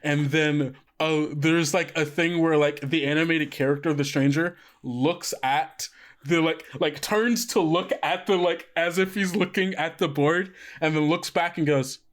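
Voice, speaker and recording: Rambling game master, slightly high voice. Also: male voice, steady tone, unhurried. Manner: rambling, many fillers, laughs often. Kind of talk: narrating a tabletop game.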